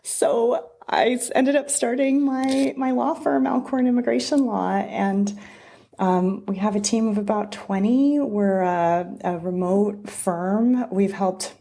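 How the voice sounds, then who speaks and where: A woman's voice: medium pitch, steady tone, slow pace, vocal fry. A middle-aged woman from Southern California, an immigration attorney telling her story as an interview guest, with frequent restarts. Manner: warm storytelling with many restarts. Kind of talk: conversational interview.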